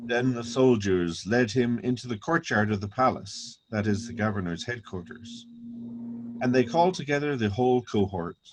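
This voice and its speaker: A man narrating a dramatic scripture reading with solemn, measured, deliberate phrasing. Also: medium pitch, background noise.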